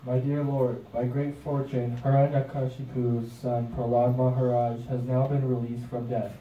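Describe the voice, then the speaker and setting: A man's voice: mid-range voice, level tone, moderate pace, lightly acted. A man voicing a reverent demigod in a puppet show, speaking formally and humbly. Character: reverent demigod humbly addressing his Lord. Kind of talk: devotional puppet show dialogue. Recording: muffled, echoey, distant with noise.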